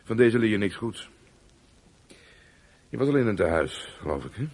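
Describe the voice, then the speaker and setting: A stern policeman with a low, rough-edged husky voice. He is brisk and fatherly in a radio drama, admonishing like someone who gives out warnings. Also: big pitch swings, a little mellow. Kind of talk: radio play acting.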